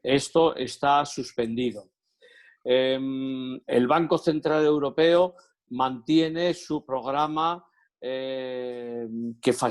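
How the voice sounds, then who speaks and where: A man hosts a webinar over a video call, talking slowly and deliberately at a medium pitch. He pauses on fillers as he introduces speakers and lays out points. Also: darkish tone. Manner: measured, with frequent fillers. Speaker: webinar moderator. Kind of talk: moderating a webinar.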